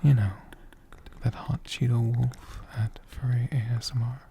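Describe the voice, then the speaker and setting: A man doing soft-spoken, whispered ASMR in a gentle, deliberate manner, close to a condenser mic. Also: medium pitch, little pitch movement, gravelly, slight background noise. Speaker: ASMR creator answering viewer questions. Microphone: USB condenser mic, close up.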